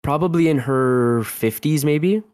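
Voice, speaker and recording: A man's voice: a little high, unhurried, darkish tone, clean tone, no room sound. A man in his twenties, a guest telling a personal story in a casual interview, rambling with lots of restarts. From Canada. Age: 20s. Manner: rambling, heavy use of "like", frequent restarts.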